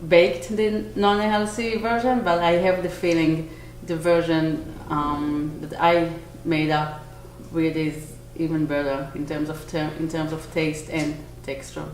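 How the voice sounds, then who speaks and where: A woman in her forties from Israel with an Israeli accent, a bakery owner answering interview questions earnestly, pausing to search for words. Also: sits low, level tone. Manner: earnest, with small restarts and searching pauses. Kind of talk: on-camera interview. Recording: echoey room, faint noise.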